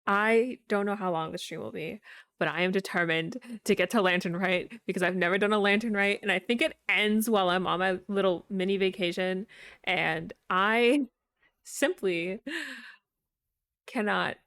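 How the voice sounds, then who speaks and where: A woman's voice: medium pitch, normal speed, a bit bright, clean tone, no echo. A woman livestreamer chatting casually to her audience in a playful, self-deprecating way. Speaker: livestreamer, VTuber. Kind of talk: casual gaming stream chat. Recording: music playing behind the voice. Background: background music.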